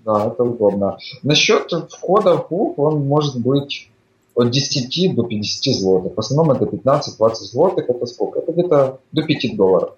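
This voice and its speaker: A man's voice: medium pitch, brisk. Man on an echoey, dull remote line. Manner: relaxed, explanatory, with frequent fillers. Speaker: guest living abroad. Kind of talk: casual interview chat.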